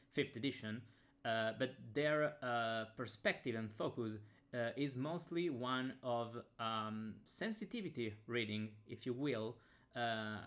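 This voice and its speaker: Italian guy on a webcam, lots of uhs and ums, hesitant, mid pitch. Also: fairly bright tone. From Italy. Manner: hesitant, many fillers and restarts. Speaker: game designer reviewing a game. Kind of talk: casual unboxing talk.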